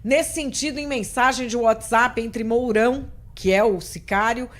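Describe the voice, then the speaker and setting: Woman, middle-aged, somewhat high voice, blunt, indignant, conversational host. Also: normal speed. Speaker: interview host. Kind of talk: political talk show interview.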